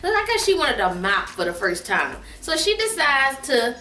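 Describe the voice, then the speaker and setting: A woman's voice: high-pitched, very animated, normal speed, bright, forceful tone. A middle-aged woman telling a funny story casually, emphatic and repeating phrases for comic effect. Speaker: guest mother telling a family story on her daughter's vlog. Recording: roomy echo, distant mic, faint music.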